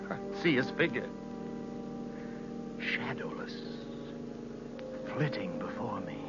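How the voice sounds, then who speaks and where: A man's voice: mid-range voice, close to an everyday voice. An actor playing a frantic, haunted young driver telling his story with dramatic intensity in a 1940s radio play. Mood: haunted, feverish. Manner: intense, dramatic narration, breaking into whispers. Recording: noisy muffled 1940s radio broadcast recording.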